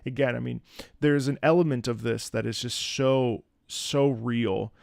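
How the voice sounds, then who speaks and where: Man, medium pitch, frequent vocal fry, reflective and halting, casual reaction host, dry close recording. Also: steady tone, darkish tone, dry recording. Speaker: host, opera singer reacting to a game.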